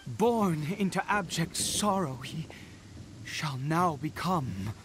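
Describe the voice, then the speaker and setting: Theatrical, fanatical nobleman, wildly expressive. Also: a little high. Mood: fervent, triumphant. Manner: theatrical, slow, swinging between hushed and exultant. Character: grief-stricken, fanatical nobleman father devoted to a dark power. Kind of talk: dramatic video game voice acting. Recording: loud rain and thunder noise behind.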